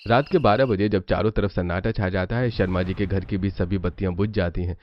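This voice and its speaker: A man's voice: sits low, steady tone, a little fast, dull audio. A man reading scripted narration for a cartoon family story.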